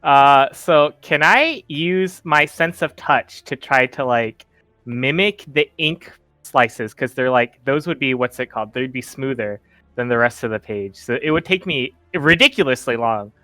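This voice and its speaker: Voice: medium pitch, lively intonation, a little slow, bright, forceful tone, no room sound, soft background music. A player chatting casually during a tabletop roleplaying game.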